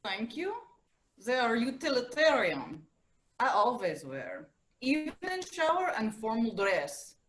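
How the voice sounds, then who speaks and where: A woman playing a terse, paranoid female soldier in a comic stage play, speaking in clipped, deadpan sentences without articles. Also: fairly high pitch, slow pace, heavily acted.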